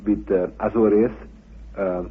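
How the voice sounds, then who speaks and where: Very husky, low-voiced man interviewing. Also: steady tone, slow. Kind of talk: interview questioning. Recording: muffled, thin old radio recording, distant.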